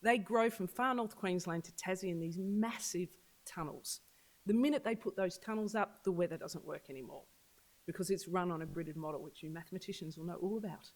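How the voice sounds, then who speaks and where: Earnest business speaker pitching. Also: fairly quick, low-quality recording. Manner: earnest, pitching, repeats for emphasis. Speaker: agtech business leader. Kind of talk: public launch speech. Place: event venue.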